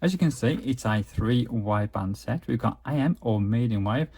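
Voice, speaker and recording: A man's voice: mid-range voice, lively intonation, normal speed, warm tone, husky. A man giving a casual, methodical product walkthrough as a gadget reviewer. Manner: methodical, explanatory.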